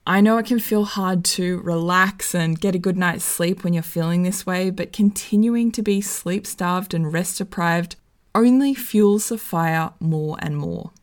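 A woman with a slightly croaky voice giving casual, enthusiastic wellness advice. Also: fairly low pitch, measured pace. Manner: friendly, enthusiastic, conversational. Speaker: wellness vlogger.

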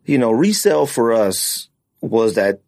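A man's voice: medium pitch, sing-song, measured pace, a little mellow, no room sound, studio quality. A man hosting a podcast in casual, opinionated chat, talking bluntly in slang and repeating phrases for emphasis. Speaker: podcast host.

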